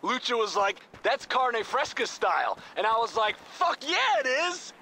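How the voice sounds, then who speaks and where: An actor voicing an excitable, foul-mouthed stoner gang leader in a video game, ranting exuberantly. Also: male voice, very high-pitched, measured pace, exaggerated voice. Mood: hyped. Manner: exuberant, crude, hyped-up ranting. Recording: loud vehicle noise behind.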